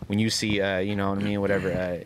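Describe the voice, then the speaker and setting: A man's voice: low voice, flat delivery, fast talker. A man co-hosting a podcast in casual, laid-back banter, full of slang and swearing. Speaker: podcast co-host. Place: inside a tent, outdoors. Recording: clearly noisy background with clicks.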